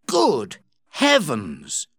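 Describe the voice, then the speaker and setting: Man playing an earnest, lively storybook gentleman. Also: mid-range voice, normal speed, no room sound, studio quality. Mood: astonished. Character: gullible, easily shocked gentleman Joss, a grown man.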